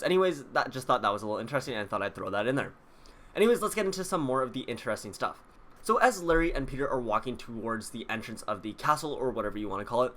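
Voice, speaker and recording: Guy doing chatty video commentary, bright forceful voice, talks straight to the viewers, casual explainer style. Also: medium pitch. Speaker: video host.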